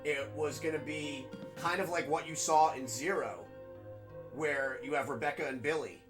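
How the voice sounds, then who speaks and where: A man with a raspy, nasal New York accent, chatting casually as a game streamer while he plays. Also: a little high, moderate pace, over music. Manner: rambling, chatty, full of fillers. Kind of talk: casual gameplay commentary.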